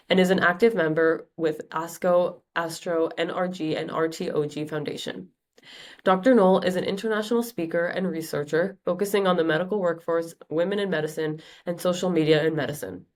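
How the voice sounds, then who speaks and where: A young woman in her twenties introduces a guest from a prepared script. Her voice sits a bit low, and she reads the bio in a steady, measured way. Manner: reading a guest bio aloud. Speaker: medical student host. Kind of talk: read introduction.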